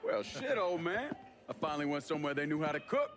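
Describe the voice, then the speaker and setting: A man's voice: on the high side, sing-song, fast, a crowd in the background. An actor playing a blunt young American veteran, a man who talks dryly and swears in a game cutscene. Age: young adult. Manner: laconic, dry, profane. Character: blunt, laconic young Vietnam veteran back home.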